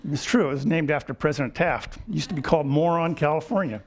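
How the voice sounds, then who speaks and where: An older man in his seventies tells family stories from the lectern in a relaxed, folksy way. His voice sits a little higher than most men's. He pauses on fillers and chuckles at his own small jokes. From United States. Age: 70s. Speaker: retired physician giving a lecture. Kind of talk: storytelling lecture with slides. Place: lecture hall.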